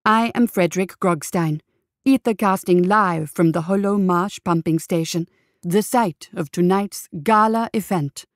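A woman's voice: fairly low pitch, a little slow, dry recording, clean studio sound, lightly acted. Woman reading as a formal radio gala reporter. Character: male radio reporter covering a lavish gala live. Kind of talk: live radio event commentary.

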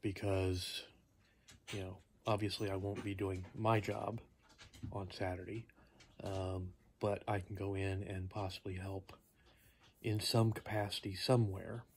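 A tired man in his fifties rambling casually to camera, halting and low-key as he thinks aloud. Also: flat delivery. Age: 50s. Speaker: vlogger. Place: small living room at home, indoors. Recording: noticeable background noise.

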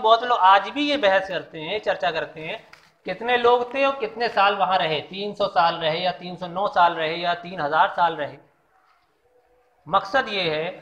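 A man's voice: high voice, a bit bright. A man giving an informal religious talk, explaining a Quran story and asking rhetorical questions. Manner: explanatory, repeats key lessons, asks rhetorical questions. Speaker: Islamic preacher. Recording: echoey, dull lo-fi room sound.

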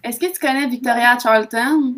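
A woman's voice: a little high, steady tone, moderate pace, fairly bright tone. A young adult woman with a Québécois French accent, chatting casually as a panelist on a video call. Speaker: talk show panelist. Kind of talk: casual chat. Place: small room at home, on a video call. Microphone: computer mic on a video call.

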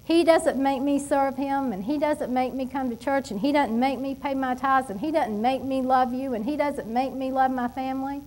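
High-voiced woman preaching with emphasis. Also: moderate pace, few pauses, a little hiss or hum. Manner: animated and emphatic, with laughs and asides. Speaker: pastor. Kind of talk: sermon. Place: church stage at a wooden pulpit.

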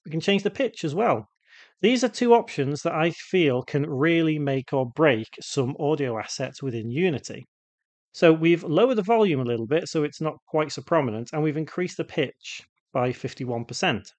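A man narrating an unscripted software tutorial, casually thinking aloud as he demonstrates each step. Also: on the high side, animated, moderate pace, no room sound. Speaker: tutorial presenter.

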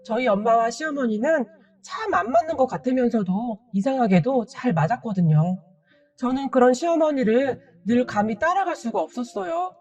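A woman narrating a first-person family story in a gentle, earnest and polite manner for a story channel. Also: a little high, recorded from a distance, dull audio.

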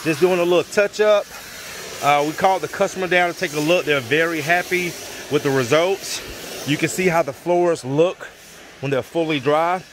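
Slightly high-voiced man filming a job. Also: normal speed, slight background noise. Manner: relaxed, talks to viewers with fillers. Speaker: floor cleaning contractor. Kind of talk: casual work vlog walkthrough. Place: unfinished basement on a job site. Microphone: handheld phone camera.

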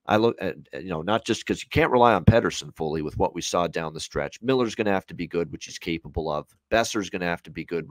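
Deep-voiced Canadian man analysing sports. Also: dry recording. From Hamilton area, Ontario, Canada. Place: small home room on a video call.

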